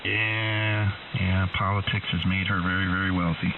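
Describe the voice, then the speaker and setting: Deep-voiced man on a tinny radio link. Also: level tone, normal speed. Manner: rambling, lots of ums and uhs. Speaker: ham radio operator calling in. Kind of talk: casual on-air chat. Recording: distant, tinny radio signal with hiss.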